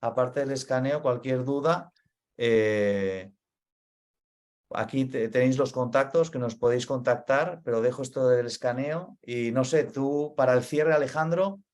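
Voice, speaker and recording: Guy from spain w/ spanish accent doing a webinar talk, mid pitch, keeps hammering points and asking "vale?". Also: measured pace, darkish tone. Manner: earnest, repeats key points, frequent "vale?" check-ins. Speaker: webinar speaker, data center expert.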